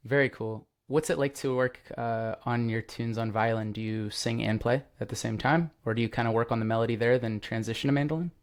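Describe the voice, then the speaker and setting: A young man hosts a podcast in an easy, rambling way, full of "um" and "like". He sounds openly enthusiastic. His voice sits at a middle pitch for a man. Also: fairly even intonation, a little fast, few pauses. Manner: enthusiastic, rambling with many fillers. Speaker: podcast host. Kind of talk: casual interview chat.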